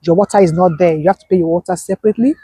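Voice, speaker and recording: A woman talks as a guest in an interview, her voice husky and soft-edged with a mellow, dark tone. She hammers her points home by repeating them. The sound is close and dry. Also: fairly low pitch, very fast, no echo. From Nigeria. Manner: emphatic, repeats key points. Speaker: guest, business owner. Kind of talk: conversational interview.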